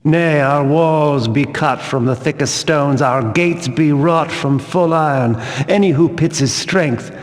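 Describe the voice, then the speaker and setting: A stern, devout guard of a fantasy religious order, preaching in archaic words. The voice sits a little high for a man and moves slowly and solemnly. Long hall-like reverberation trails every phrase. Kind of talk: archaic scripted video game dialogue.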